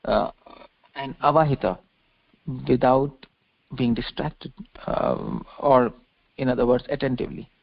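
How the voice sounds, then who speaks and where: A male preacher lectures on scripture in a gravelly, creaky voice. He explains things didactically and repeats key phrases for emphasis. The sound is dull and muffled, like a lo-fi conference call, with very little room echo. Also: mid-range voice, fairly even intonation, a little slow, pauses often. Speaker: religious preacher giving a lecture.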